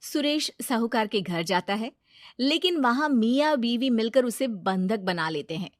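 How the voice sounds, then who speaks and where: A woman narrating a moral cartoon story in a steady, matter-of-fact way. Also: fairly high pitch, unhurried, no echo, studio quality.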